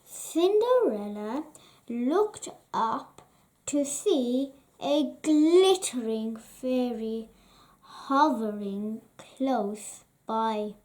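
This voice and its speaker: Slow, sing-song bedtime storyteller woman. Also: on the high side. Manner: slow, expressive, sing-song read-aloud delivery. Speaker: storybook reader. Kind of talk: reading a picture book aloud at bedtime.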